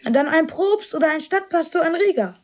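A high, pert young pupil's voice from a radio drama. The figure is inquisitive and teasing, with a cheeky way of questioning a tutor. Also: female voice, animated, brisk.

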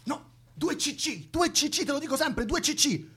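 Giovane militante teatrale e pignolo. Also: male voice, very high-pitched, expressive, moderate pace, fairly bright tone, a little hiss or hum. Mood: bossy, patient. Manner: theatrical, insistent, repetitive. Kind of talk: Italian radio drama acting, colloquial.

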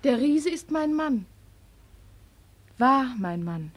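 A woman's voice: sits high, animated, slow, heavily acted. A woman playing a lonely soldier's wife in a 1940s radio drama, theatrical, swinging between teasing and tender pleading. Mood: bitter. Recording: old 1940s radio studio recording.

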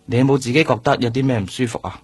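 An actor playing a reassuring, casually teasing doctor in a Cantonese radio drama, with a husky voice and a Hong Kong accent. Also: male voice, mid-range voice, racing, no echo, lightly acted. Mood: reassuring, attentive.

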